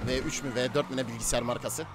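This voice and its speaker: A man chats through a roleplay game in slangy banter. He talks quickly at a middle pitch, with clear engine noise behind his voice. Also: steady tone. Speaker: streamer, roleplay gamer. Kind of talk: casual in-game chat while streaming. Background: game car engines and street sounds.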